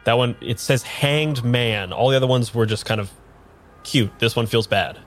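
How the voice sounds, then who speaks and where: An actor playing a nervous, hesitant amnesiac man in an improvised radio drama, talking in a halting, understated way. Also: mid-range voice, natural voice. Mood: uncertain, uneasy. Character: nervous, hesitant amnesiac man having his tarot read.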